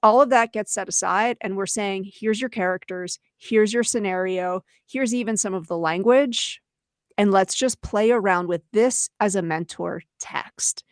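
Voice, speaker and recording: A woman's voice: medium pitch, unhurried, no echo, clean studio sound. A woman co-hosting a webinar for teachers, explaining conversationally and voicing imagined quotes as she goes. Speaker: webinar co-host, educator.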